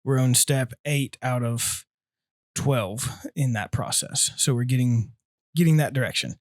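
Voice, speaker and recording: A man in his twenties from North Carolina, a guest answering interview questions in an easygoing, halting way. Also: medium pitch, dry recording, clean studio sound. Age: 20s. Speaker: guest, aspiring missionary.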